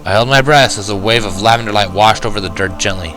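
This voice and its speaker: A man's voice: lowish voice, fast, sharp tone, faint music behind, poor audio. A man reading a fan-fiction story aloud as scripted first-person narration.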